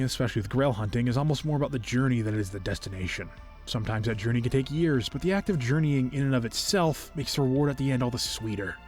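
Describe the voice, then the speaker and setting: A man hosting a video, reading a scripted review in an enthusiastic, expressive and earnest way. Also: medium pitch. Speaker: video host, toy collector reviewing figures. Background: faint music.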